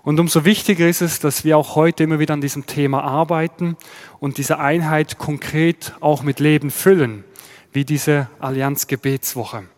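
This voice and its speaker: A man with a Swiss German accent, preaching a sermon in a measured, explanatory way and posing rhetorical questions. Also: a little high, steady tone, a little mellow, big-room sound. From Switzerland. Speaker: pastor. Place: church.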